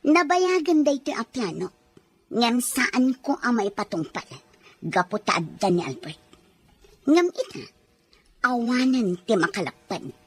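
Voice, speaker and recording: A woman's voice: a little high, big pitch swings, unhurried, long pauses, husky, full character voice. A woman acting as a scheming, commanding rich mistress who threatens her nanny in a drama. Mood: cold, plotting. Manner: menacing, commanding. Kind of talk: Ilocano radio-style drama acting.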